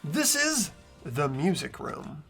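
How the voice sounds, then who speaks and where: A man's voice: on the high side, very animated, slow pace, a bit bright, soft background music. A young man hosting a game playthrough, reading story narration aloud and chatting casually. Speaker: host and lead reader of a game playthrough. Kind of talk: voiced game narration mixed with casual chat.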